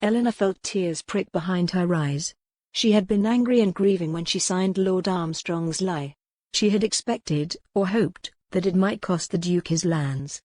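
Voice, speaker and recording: Low-pitched woman narrating fiction, dry sound. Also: warm tone, no echo. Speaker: audiobook narrator. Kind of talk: scripted dramatic fiction narration.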